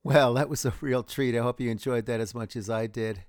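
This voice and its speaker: A friendly man hosts an interview in a laid-back way. His voice is smooth and resonant, recorded dry and close on a studio mic. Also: medium pitch, steady tone, a little fast, no room sound. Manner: friendly and easygoing, calls people man. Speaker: show host, guitarist. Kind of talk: casual interview hosting.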